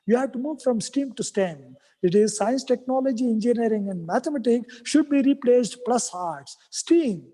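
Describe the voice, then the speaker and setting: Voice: medium pitch, lively intonation, normal speed, few pauses, warm tone. Speaker with an Indian English accent, echoing hall. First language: Kannada. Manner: earnest, lists items in rhythmic series. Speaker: speaker at a university policy event. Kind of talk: formal prepared speech with thanks. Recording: long reverb of a large hall.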